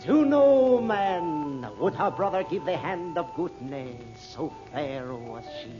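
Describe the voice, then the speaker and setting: A man's voice: on the high side, expressive. An actor playing a theatrical desert storyteller in an old radio drama, grandly poetic and half-singing his declamatory tale. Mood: poetic, mournful. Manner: slow, declamatory, half-sung. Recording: dull old radio recording with music.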